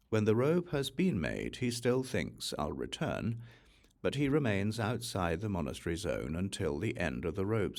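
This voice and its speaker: A man reading a scriptural audiobook in a measured, reciting manner, breaking sentences into short phrases. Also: sits low, vocal fry. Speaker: audiobook reader.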